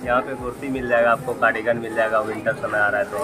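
A man's voice: mid-range voice, little pitch movement, quick pace. A man working as a shop assistant, who politely lists the clothing on offer and its prices. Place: clothing floor of a mall.

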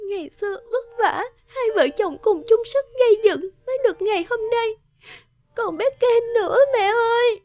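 Distraught young cartoon mother: extremely high, hurried pleading, lo-fi recording. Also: no echo. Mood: tearful, anxious.

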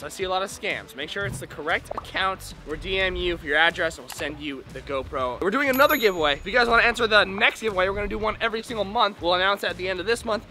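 A man in his twenties, talking fast and hyped to a handheld camera outdoors by his fish ponds. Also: sits high, sharp tone. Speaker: fish-keeping vlogger. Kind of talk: excited vlog talk to camera. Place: outdoors by a pond and in a garage.

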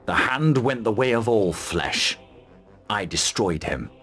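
Gruff, low-voiced war commander. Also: male voice, lively intonation, slow, fairly bright tone, faint music behind. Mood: resolute, blunt. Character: bold, gruff, resolute male mercenary commander. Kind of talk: video game cutscene acting.

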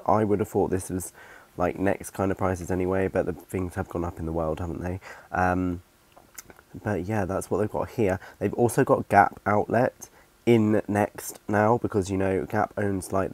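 A man's voice: deep voice, a little mellow. A young man with a blocked nose, chatting casually to camera as a shopping vlogger, rambling with lots of ums and restarts. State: stuffy-nosed from hay fever. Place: living room at home, then a clothing store.

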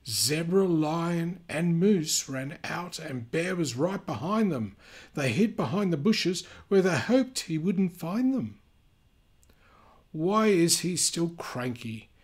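A man reading a children's picture book aloud, gently and expressively, with chatty asides. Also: fairly high pitch, animated, slow, husky.

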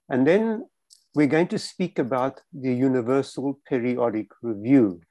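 An older man with a South African accent presenting a webinar slowly and carefully, repeating key points. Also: mid-range voice, dark tone, no room sound. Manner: slow, careful, emphatic repetition.

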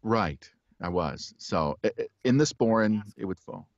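A middle-aged man with a very low, deep voice chats loosely as an interview guest, often restarting his sentences. His audio comes over a video call from a home studio, dry with little room sound and a slightly muffled top end. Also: no room sound. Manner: relaxed, chuckling, halting restarts. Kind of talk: casual interview chat. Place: home studio with poster behind.

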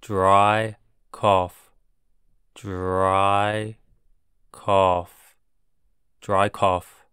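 Very slow, low-voiced man drilling English. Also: little pitch movement, fairly bright tone, clear, resonant tone, no room sound, clean studio sound. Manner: repeats each word three times, then word by word. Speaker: English teacher. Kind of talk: slow drilled vocabulary reading.

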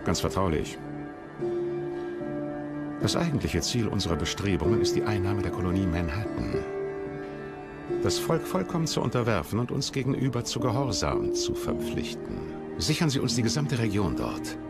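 A man's voice: lowish voice, sing-song, moderate pace, vocal fry, loud music behind the voice. An actor playing a regal, self-assured English king in a historical docudrama, speaking formally and theatrically. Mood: commanding. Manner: formal, stately, theatrical phrasing. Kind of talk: German historical docudrama acting.

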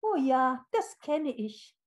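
A woman playing a small, light-hearted old woman in a fairy tale, fearless and kindly, with a cheerful, gently encouraging manner. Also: high voice, big pitch swings, slow, voice pushed up, full character voice. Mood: knowing, emphatic.

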